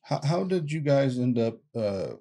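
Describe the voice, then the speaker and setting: A man's voice: mid-range voice, animated, unhurried, warm tone. A man hosting a podcast, asking easygoing questions with short prompts and fillers. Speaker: podcast host. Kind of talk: interview questions.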